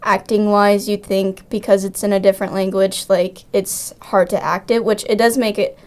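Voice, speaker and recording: A woman's voice: medium pitch, flat delivery, moderate pace, a little mellow. A teenage girl, a student director and cast member, answering interview questions chattily with lots of ums and likes. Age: teens.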